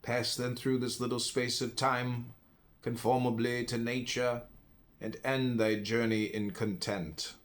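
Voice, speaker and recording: A man reading classical philosophy aloud in a deliberate, declamatory manner with emphatic pauses. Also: medium pitch, level tone. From United States. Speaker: reader, podcast host.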